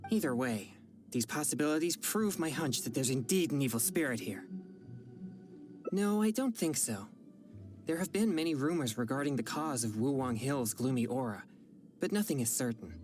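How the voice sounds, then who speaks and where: Low, earnest young exorcist. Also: female voice, expressive. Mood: pondering. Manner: polite, earnest, measured. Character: earnest young female exorcist hunting evil spirits. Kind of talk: video game voice acting. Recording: game audio with background music.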